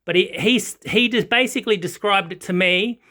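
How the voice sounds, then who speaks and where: An Australian man chatting casually and unscripted as an audio hobbyist, rambling and halting but enthusiastic. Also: high voice, sharp tone. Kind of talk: casual unscripted product chat. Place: small carpet-and-wood home listening room with foam panels.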